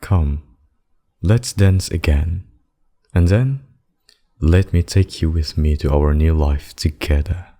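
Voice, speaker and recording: A man's voice: extremely low pitch, takes it slowly, pauses often, dark tone, exaggerated voice. A man playing a possessive, smug demon king in a roleplay ASMR, courting with theatrical, courtly menace. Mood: coaxing.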